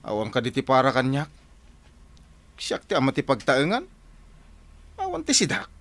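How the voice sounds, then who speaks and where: Henpecked Ilocano husband, whiny, comic complainer, swooping pitch, bright voice, radio drama. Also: male voice, wildly swooping pitch, normal speed, vocal fry, dry recording, full character voice. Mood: exasperated. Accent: northern Philippine, Ilocano. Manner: whining, exclamatory, comic complaining. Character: Pedro, grumbling, henpecked construction-worker husband and father.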